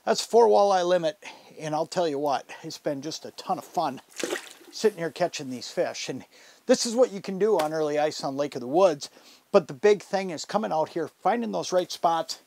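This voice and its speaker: A man's voice: fairly high pitch, lively intonation, normal speed, gravelly, darkish tone, slight background noise. A middle-aged man giving casual, instructional fishing commentary in a folksy, enthusiastic, conversational way. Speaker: fishing show host. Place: inside a fabric ice-fishing shelter.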